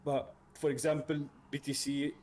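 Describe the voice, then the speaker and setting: A man from Lebanon, speaking haltingly and casually as a learner in a mentoring chat. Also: medium pitch, little pitch movement, darkish tone, a little hiss or hum. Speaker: learner trader, mentee.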